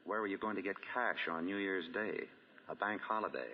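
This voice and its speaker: An actor playing a shrewd, composed amateur detective in 1940s radio drama style, with probing, deliberate questioning. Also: male voice, sits low, in a large hall, slight background noise. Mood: grave, accusing. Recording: dull, lo-fi 1940 radio broadcast.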